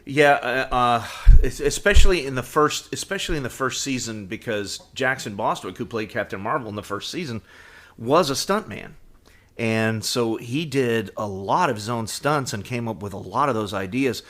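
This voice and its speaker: A man in his fifties co-hosting a podcast, chatting casually and reminiscing in a halting way, repeating phrases as he goes. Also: mid-range voice, expressive, a bit bright. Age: 50s. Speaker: podcast co-host. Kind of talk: casual reminiscing fan chat.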